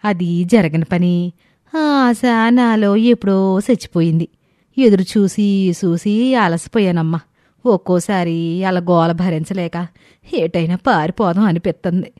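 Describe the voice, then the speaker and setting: A woman's voice: on the low side, unhurried, mellow, no room sound, clean studio sound, natural voice. A woman voicing a weary, exasperated older village mother-in-law in a story, complaining in a rustic way.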